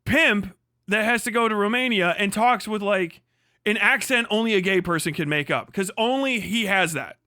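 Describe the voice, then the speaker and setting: Bright-voiced man ranting on a livestream. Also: sits high, moderate pace, dry recording, clean studio sound. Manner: profane, mocking, laughing often. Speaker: livestream host. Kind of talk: livestream commentary rant.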